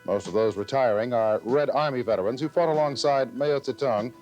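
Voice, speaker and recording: Male news anchor, mid-pitched, 1980s local TV newscast read, faint music bed. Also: fairly quick, a little mellow, poor audio.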